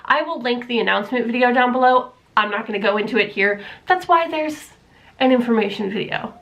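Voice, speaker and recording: A woman's voice: a little high, a little fast, a bit bright, lo-fi sound. Woman, young adult, stuffy, nasal, rambling, lots of fillers, casual vlog, camera mic at home. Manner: rambling, many fillers, self-deprecating. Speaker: book vlogger. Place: room at home, not yet set up for filming. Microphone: camera mic, camera propped on books.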